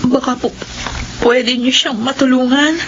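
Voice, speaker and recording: A high, anxious Filipino housewife and caring friend in a radio horror drama. The sound is a little muffled, with faint noise around her. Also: steady tone, a little slow. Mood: worried, pleading.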